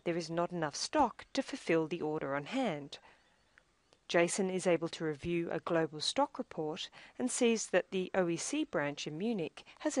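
Low-pitched woman narrating a tutorial, dry sound. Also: dry recording. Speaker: software demo presenter. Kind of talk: scripted instructional narration.

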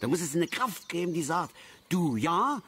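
An actor playing a stubborn, self-pitying middle-aged angler who grumbles and stammers self-righteously in a naturalistic radio play. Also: male voice, on the high side, big pitch swings, moderate pace, a bit bright, slight background noise. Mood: ranting, grumbling.

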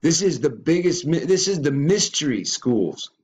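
A man's voice: on the high side, very animated, normal speed. A man giving a ranting reaction commentary on a video, stumbling and repeating words as he goes.

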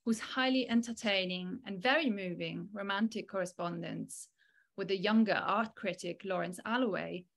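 A woman in her thirties reading a formal academic lecture in a measured, articulate way over a video call. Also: medium pitch, gravelly. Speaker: academic keynote speaker. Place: small indoor room, online video call. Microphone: video call microphone.

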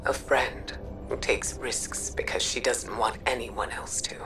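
A worried, firm mentor from a video game drama. Her very low voice is hushed and measured, set a little distant under loud music. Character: grave, caring female mentor, worried and firm.

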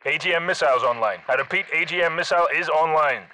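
An actor playing a tough, commanding Scottish special forces soldier who gives clipped military callouts in a shooter video game. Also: male voice, on the high side, fast talker, sharp tone, slight background noise, poor audio. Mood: businesslike, terse. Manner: clipped, terse radio-style military callouts. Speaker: video game squad leader.

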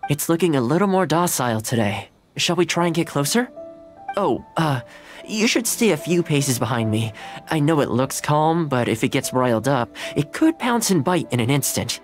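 Game role: kind young guy, animated and gently coaxing, music underneath. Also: fairly high pitch, normal speed. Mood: cautious. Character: friendly, caring, patient young man coaxing a dog. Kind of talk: video game character dialogue.

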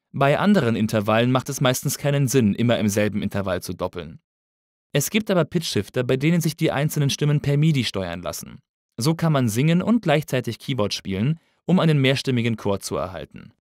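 Lively, dry-sounding male tutorial narrator. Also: mid-range voice, fairly quick, dry recording. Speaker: instructor.

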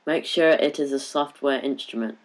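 A man narrates software instructions as a tutorial co-presenter. His voice has a medium pitch. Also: normal speed.

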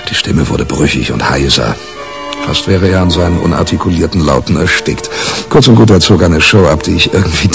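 A wry tabloid reporter with a very deep voice. He tells his story in a detached, detailed way, as in a radio crime play. Music runs underneath. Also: gravelly, heavily acted. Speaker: narrator and lead character, a newspaper reporter. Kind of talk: radio crime drama narration and dialogue.

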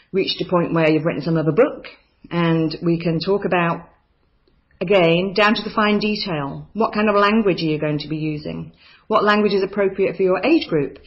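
A woman from New Zealand tutoring a children's writing course, talking enthusiastically and chattily with frequent ums. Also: on the low side. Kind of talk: course overview narration over slides. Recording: slightly dull lo-fi voice-over.

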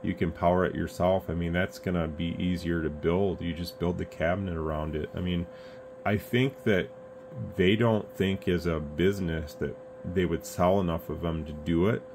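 A man's voice: sits low, moderate pace. A man talking casually and earnestly, giving rambling opinions as a hobbyist video reviewer. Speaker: YouTube radio reviewer. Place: indoor radio workbench room. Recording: clear steady background room noise.

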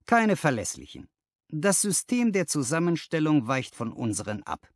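Man acting out patronising male boss, businesslike, commanding, lively swooping pitch, audiobook dialogue, dry recording. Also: moderate pace, no echo. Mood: brisk, commanding. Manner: businesslike, giving orders.

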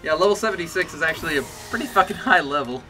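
A man with a bass voice streaming a game, chatting casually and getting excited or pleading with the game as he plays. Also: rapid-fire, faint music behind. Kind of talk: casual gameplay commentary. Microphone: desk mic with webcam.

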